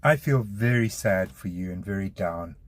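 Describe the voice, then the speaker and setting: A man with a fairly low voice speaks straight to the listener, exasperated yet sincere. His voice sounds dry and close, with no room sound around it. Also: lively intonation, normal speed, dry recording. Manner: exasperated, earnest appeal. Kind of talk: direct address to camera.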